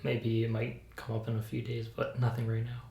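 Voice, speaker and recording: A soft-spoken woman answers briefly as a patient in an ASMR roleplay. Her voice is exceptionally low for a woman, mellow and gravelly with fry. She sounds low-key and compliant. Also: completely flat delivery, a little fast. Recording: roomy sound with faint hiss.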